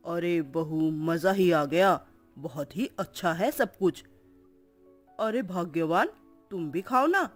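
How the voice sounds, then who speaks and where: A woman's voice: on the low side, lively intonation, takes it slowly, soft background music, pitched below the performer's own voice. Woman putting on a kindly, easygoing father-in-law. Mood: genial. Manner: gentle, coaxing.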